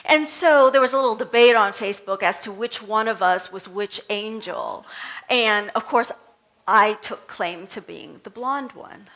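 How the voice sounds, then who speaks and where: A middle-aged woman from Vietnam, a native Vietnamese speaker, giving a conversational sermon with animated storytelling and self-deprecating humor. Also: mid-range voice, a little slow, poor audio. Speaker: reverend giving a talk. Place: temple service.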